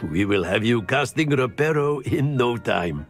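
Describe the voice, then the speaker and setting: A man's voice: medium pitch, expressive, measured pace, soft background music. A man playing a jovial, theatrical professor of spells in a video game, grand, precise and encouraging. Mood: cheerful, encouraging. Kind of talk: scripted video game acting.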